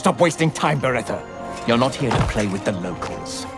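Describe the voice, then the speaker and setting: An actor playing a stern, merciless githyanki warrior commander in a video game, speaking in clipped, menacing orders. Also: male voice, mid-range voice, expressive, normal speed, over loud music, close to an everyday voice. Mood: impatient, stern. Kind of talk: dramatic video game cutscene acting.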